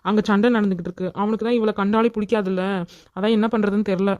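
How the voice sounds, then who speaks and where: A woman voicing a worried older village mother and a young man in a family drama, speaking low and fretful. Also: fairly even intonation, fast, a little mellow, no room sound, muffled recording, lightly acted.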